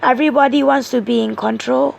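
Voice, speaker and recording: A hoarse woman giving a tarot card reading, explaining each card point by point in an earnest way. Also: high voice, steady tone, brisk. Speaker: tarot reader.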